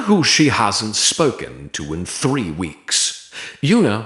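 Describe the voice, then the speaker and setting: A man narrating a scripted romance story in a measured, dramatic way, in short phrases. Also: medium pitch, fairly bright tone, roomy sound. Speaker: audiobook narrator.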